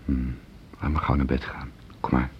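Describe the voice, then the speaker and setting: A man's voice: extremely low pitch, flat delivery, moderate pace, creaky, a little hiss or hum. An actor playing an easygoing, coaxing male partner at home in intimate radio drama dialogue. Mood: coaxing, sleepy. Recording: slightly dull studio radio drama.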